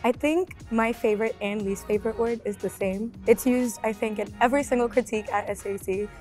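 A woman's voice: a little high, fairly quick, a little mellow, faint music behind. A cheerful young woman art student answering interview questions. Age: young adult.

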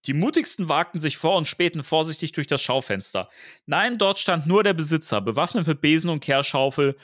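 A man's voice: a little high, lively intonation, a little fast, a bit bright, no room sound, clean studio sound. A man with a German accent, co-hosting a podcast, reading a story playfully with dramatic emphasis and joking asides. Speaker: podcast co-host reading a film novel aloud.

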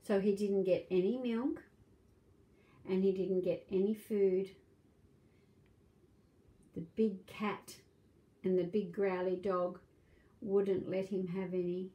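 Slow-paced middle-aged woman reading to children. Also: mid-range voice, fairly even intonation, highs cut off. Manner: simple, questioning, child-directed. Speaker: children's storytime host. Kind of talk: read-aloud storytelling to young children. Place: small domestic room indoors.

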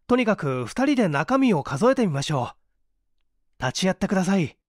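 A woman performs a jobless man in an audio story, with lively, swinging intonation. He is hungry, brazen and wry, talking politely but pushily in an old-fashioned way, in a dry recording. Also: on the low side, brisk, dry recording. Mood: insistent.

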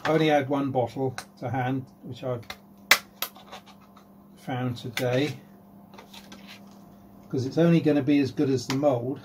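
A man in his fifties presenting a casual hands-on how-to vlog, informal and low-key, thinking aloud as he works. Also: steady tone, a little slow, long pauses. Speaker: hobbyist presenter. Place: at home, indoors and in a workshop. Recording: noisy home-made recording with clicks.

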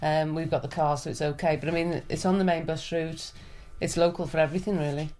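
A woman's voice: low voice, steady tone, fast talker, mellow. A middle-aged woman explaining things in a matter-of-fact way at home. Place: family home.

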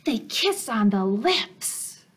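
A woman's voice: on the high side, sing-song, very slow, husky, full character voice. A woman voicing a know-it-all picture-book bunny, explaining things emphatically. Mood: building suspense.

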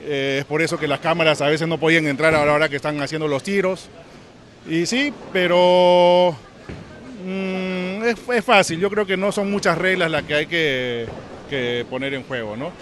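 Middle-aged Peruvian man, slightly high voice. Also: steady tone, moderate pace, a bit bright. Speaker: veteran bowling athlete. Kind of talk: casual interview. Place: large indoor bowling alley. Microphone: handheld interview microphone. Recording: faint bowling alley noise.

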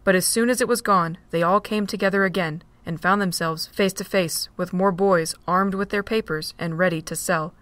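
A woman's voice: lowish voice, fairly even intonation, moderate pace, hardly any pauses, a bit bright, no room sound. Woman narrating, dry close sound. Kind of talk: storybook narration.

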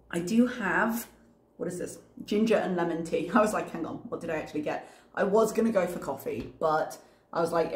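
A woman's voice: lowish voice, a little mellow. A tired woman in her thirties with a slight cough, chatting casually to camera as a reaction channel host in a rambling, self-mocking way. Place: small room, indoors. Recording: roomy echo.

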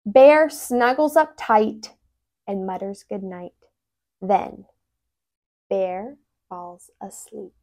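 Young woman, children's read-aloud, lively swooping intonation, very slow pacing, expressive, lapel mic. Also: mid-range voice. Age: young adult. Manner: animated, with brief asides explaining words. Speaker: tutor reading a picture book aloud.

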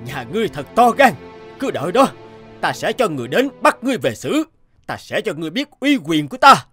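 An actor playing an arrogant, greedy feudal lord in a cartoon folk tale, with a pompous, blustering, mocking manner. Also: male voice, sits high, very animated, a little fast, a bit bright. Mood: angry threatening. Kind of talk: animated folk tale dub acting. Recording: music under the voice.

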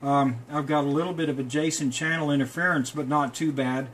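A man's voice: on the high side, steady tone, brisk, fairly bright tone, slight background noise, rough recording. A man chatting casually as an amateur radio operator. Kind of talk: casual ham radio conversation. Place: small indoor radio room.

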